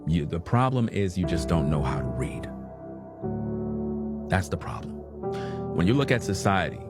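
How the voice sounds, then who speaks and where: Male preacher type, combative lecturing, low voice, heavy music bed. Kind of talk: preaching commentary.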